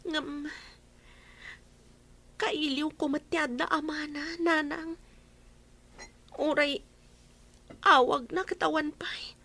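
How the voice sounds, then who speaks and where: A woman's voice: high voice, steady tone, slow pace, pauses often, sharp tone, full character voice. A woman voicing a teenage girl who has just graduated and misses her absent father. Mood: sad. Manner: sad, earnest.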